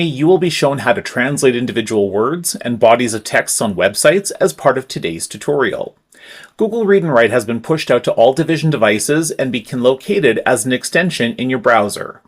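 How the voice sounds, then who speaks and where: A man walks listeners through a computer tool one step at a time, with clear, patient instructions. His voice sits at an ordinary middle pitch, neither high nor low. He sounds like he is talking into a desk microphone while recording his screen. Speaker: tech tutorial presenter. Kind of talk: screen-recorded software tutorial.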